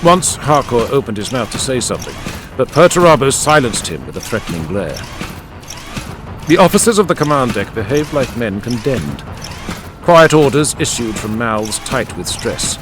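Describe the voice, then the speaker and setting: Lively male audiobook narrator over music. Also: medium pitch, moderate pace. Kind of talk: dramatic scripted narration. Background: music and added sound effects.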